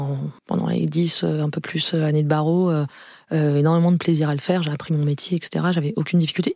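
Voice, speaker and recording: A woman in her forties speaks candidly as a guest on a podcast, her voice pitched somewhat low. She hesitates and restarts often but stays earnest. The sound is close and dry. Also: a little mellow, no echo. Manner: earnest, frank, repeats herself and hesitates with many fillers. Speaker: lawyer and law firm partner.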